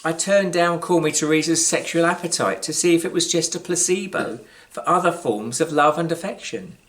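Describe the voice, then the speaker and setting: A British man with a slightly high voice reads literary fiction as its author. His delivery is measured and wry, leaning on dramatic pauses. Emphasis shifts from phrase to phrase. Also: a bit bright. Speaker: author reading his own short story. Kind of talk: scripted literary storytelling, live reading.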